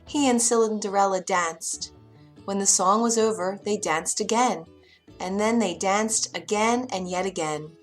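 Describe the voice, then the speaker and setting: A woman narrating a children's bedtime story in an expressive, dramatic way. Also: medium pitch, measured pace, faint music behind.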